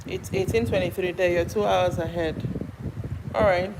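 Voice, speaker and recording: A woman's voice: fairly low pitch, fairly even intonation, normal speed. A Nigerian woman hosting a casual live stream, greeting viewers by name and answering their comments aloud in a chatty way. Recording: noisy background with passing traffic.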